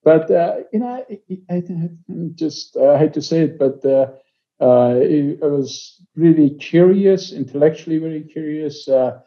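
A man in his sixties from Iran with an Iranian accent, speaking conversationally as an interview guest, with halting phrases and frequent pauses. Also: a little high, dark tone. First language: Persian. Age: 60s. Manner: halting, pausing often mid-phrase. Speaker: interview guest, company executive. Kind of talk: conversational life-story interview. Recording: slightly dull, lo-fi audio.

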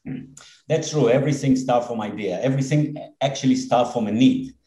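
A man in his fifties with an Israeli accent, a dentist and company head speaking as a podcast guest in an earnest, halting way with many fillers. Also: mid-range voice, dark tone, reverberant. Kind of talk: podcast interview.

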